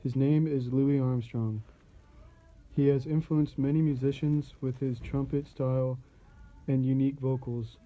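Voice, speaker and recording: A man's voice: mid-range voice, fairly even intonation, a little slow, mellow, poor audio. A young man reading scripted announcements as the presenter of a 1920s-style radio show.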